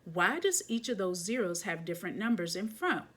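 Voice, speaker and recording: A woman's voice: medium pitch, big pitch swings, normal speed, a bit bright. A woman voicing a curious little girl in a storybook, eager and full of questions. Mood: pondering.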